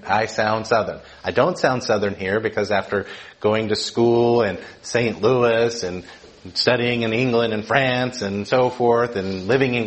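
A man's voice: medium pitch, low-quality recording. A middle-aged man from Georgia, preaching a casual teaching sermon with frequent "right?" check-ins to the room. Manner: conversational, keeps asking "right?" to the room.

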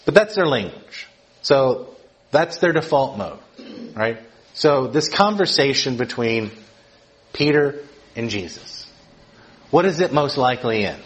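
A man's voice: mid-range voice, sing-song, a little slow, poor audio. Man preaching with constant "right?" checks. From Georgia, US. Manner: conversational, keeps asking "right?" to the room. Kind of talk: teaching sermon.

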